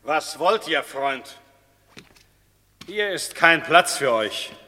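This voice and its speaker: Brusque servant, higher-pitched and piercingly bright, classical radio drama, reverberant hall setting. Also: male voice, expressive, takes it slowly, full character voice. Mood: irritated. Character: brusque, haughty servant turning away a beggar.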